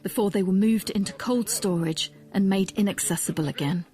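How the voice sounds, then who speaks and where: A woman presenting a documentary in measured, articulate scripted narration. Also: mid-range voice, dark tone.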